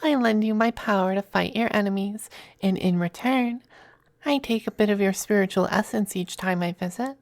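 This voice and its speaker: A performer acting a smug, affectionate demon femboy in an intimate ASMR roleplay, teasing and coy, with breathy turns into whispers. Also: medium pitch, moderate pace, no room sound, natural voice.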